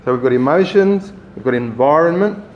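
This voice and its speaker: A man running a training workshop, giving relaxed, rambling instructions to the group. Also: on the high side, fairly quick, dull audio. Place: meeting room. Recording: echoey room, faint noise.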